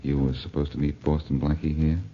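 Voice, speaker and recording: An American actor playing a glib, teasing, cool-headed amateur detective in a 1940s radio crime drama. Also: male voice, very deep voice, level tone, a little fast, husky, exaggerated voice. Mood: cool, guarded. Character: wisecracking, cool-headed male amateur detective accused of murder. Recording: dull 1940s radio broadcast recording.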